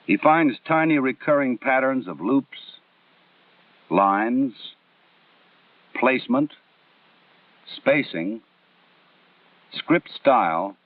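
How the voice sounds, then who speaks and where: Male American narrator for a vintage 1960s training film: formal, measured, exact diction, thin band-limited audio, slightly off-mic. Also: mid-range voice, no echo, distant mic. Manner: measured, instructional, precisely enunciated. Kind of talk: formal scripted documentary narration. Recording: muffled narrow-band 1960s film soundtrack.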